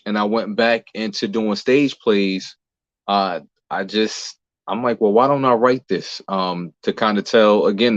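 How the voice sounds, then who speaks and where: A low-voiced American man answers questions casually as an interview guest. His recording is very dry, with little room sound, and slightly dull and muffled. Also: no echo. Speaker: interview guest, singer, actor and playwright.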